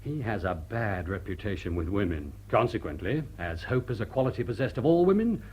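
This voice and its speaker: Dryly witty English butler, animated. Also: male voice, fairly low pitch, brisk, slightly rough. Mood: knowing, wry. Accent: upper-class English. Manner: formal, dry, deadpan wit. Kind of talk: British radio drama acting.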